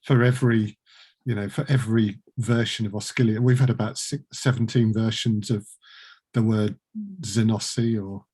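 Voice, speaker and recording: A man's voice: fairly low pitch, little pitch movement, normal speed, a little mellow, husky. A middle-aged man hosting a casual podcast chat in a dry, joking, mock-formal manner. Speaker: podcast producer and host.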